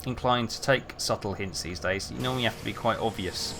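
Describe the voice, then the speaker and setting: A man's voice: fairly low pitch, brisk, creaky, noisy background. A man who grew up in England chatting casually with viewers while he streams a game. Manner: relaxed, chatty, answers chat while playing.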